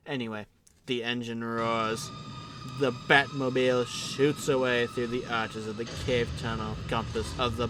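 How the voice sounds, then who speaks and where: A man's voice: mid-range voice, noisy background. A man narrating an audio drama in a theatrical announcer style, slipping into offhand comic asides. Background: music.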